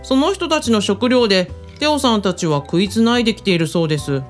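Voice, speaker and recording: A woman's voice: medium pitch, animated, fairly quick, soft background music, lightly acted. An actress in a radio drama playing a composed female aide with polite, refined phrasing. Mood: polite, concerned.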